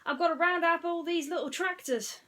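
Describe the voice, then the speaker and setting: Lady doing a rushed race car guy, apologetic, high and loud, storybook character.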